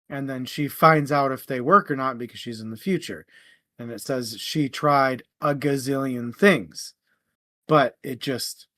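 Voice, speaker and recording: A man co-hosting a casual book discussion, animated in how he makes his points. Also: medium pitch, no echo. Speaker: book podcast co-host.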